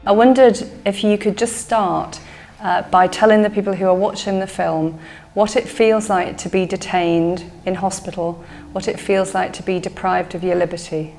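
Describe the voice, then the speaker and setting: A woman's voice: medium pitch, steady tone, normal speed, gravelly, a little mellow, soft background music. A woman asking questions as an interviewer.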